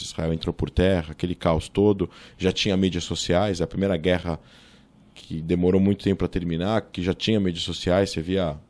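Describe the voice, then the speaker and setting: A man in his thirties with a São Paulo Brazilian accent, a guest in a podcast interview, telling his story quickly with many fillers and restarts, speaking into a boom-arm studio mic in a small studio. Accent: Brazilian Portuguese, São Paulo. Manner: fast, animated storytelling with many fillers and self-corrections. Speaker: guest, political scientist. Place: small studio with table and monitor.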